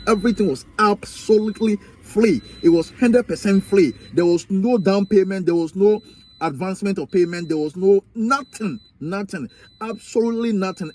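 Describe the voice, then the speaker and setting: A man's voice: high-pitched, moderate pace, a little mellow. A young man with a Ghanaian accent telling a personal story in a vlog, repeating himself emphatically. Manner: emphatic, repetitive, preachy storytelling. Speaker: vlogger.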